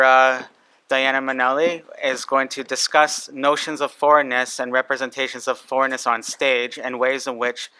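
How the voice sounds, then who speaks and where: A man moderating a panel, introducing the next speaker in a measured, even way. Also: medium pitch, clear, resonant tone, rough recording.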